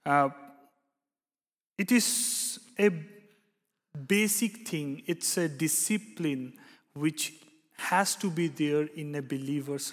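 A young man from India delivers a sermon at an unhurried, halting pace, filling his pauses with hesitations and restarting his phrases. His voice sits a little high for a man. It rings out with the long reverb of a church hall. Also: fairly bright tone. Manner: halting, many fillers and restarts. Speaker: preacher. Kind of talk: sermon with prayer.

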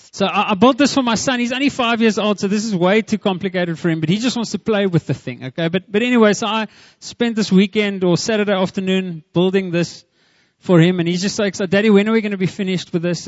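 Upbeat South African pastor, high voice. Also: male voice, dry recording, muffled recording. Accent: South African English. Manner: warm, chatty, mixes in Afrikaans, lots of "amen" and "Lord". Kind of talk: church prayer and sermon. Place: church hall with a live congregation.

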